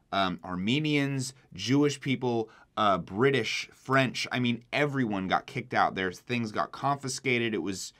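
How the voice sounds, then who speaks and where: A young man talks through history in a casual, chatty explainer. His voice sits at a middle pitch. He keeps it loose with self-deprecating asides. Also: a bit bright. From Egyptian heritage. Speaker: history video creator.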